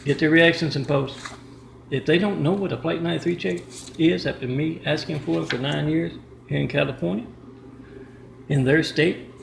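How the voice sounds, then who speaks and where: A man's voice: fairly high pitch, gravelly, echoey room, slight background noise, low-quality recording. A man vlogging in a rambling monologue to the camera, restarting often, recorded on a camera mic inside an apartment. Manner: rambling, restarts often, reads out numbers and addresses. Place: indoor apartment with a kitchen.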